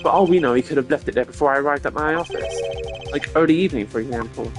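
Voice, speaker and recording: A man's voice: medium pitch, fast, close to an everyday voice. A man voicing a smug, slippery prosecutor in a video game, glib and taunting as a suspect. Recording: voice over game music.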